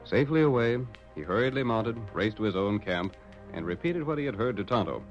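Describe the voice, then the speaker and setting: Man, low voice, dramatic western narration, old-time radio, dull recording, music underneath. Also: lively intonation, a little fast. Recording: muffled vintage radio recording.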